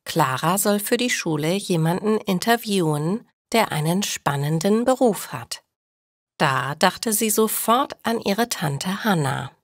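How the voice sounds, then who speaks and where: A woman reading a scripted story for language learners in a deliberate, careful storytelling manner. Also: medium pitch, animated, no echo, studio quality. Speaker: narrator of German listening lessons.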